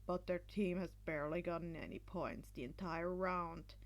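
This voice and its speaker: Woman doing a lowered, deadpan male commentator. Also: normal speed, close to an everyday voice. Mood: dry, unimpressed. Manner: flat, dry, unimpressed. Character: deadpan, skeptical man commentating on a sports event.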